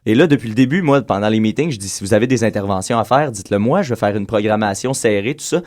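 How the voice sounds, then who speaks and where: A man's voice: fairly low pitch, fast, a little mellow. A man with a Quebec French accent, a radio co-host who organizes events, telling a long story with many fillers. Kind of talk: casual radio chat.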